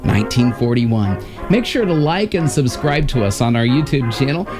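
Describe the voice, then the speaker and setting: A man's voice: mid-range voice, lively intonation, moderate pace. An American man in his fifties hosting with a scripted, emphatic announcer delivery at a broadcast microphone. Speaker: host. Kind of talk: scripted presentation. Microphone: large broadcast microphone on stand, close. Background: music.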